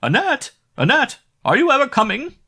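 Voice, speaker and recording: A man voicing a shrill old female innkeeper who screams up a staircase, in a storybook reading. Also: very animated, moderate pace, creaky, bright, forceful tone, breathy edge, exaggerated voice. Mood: shrieking.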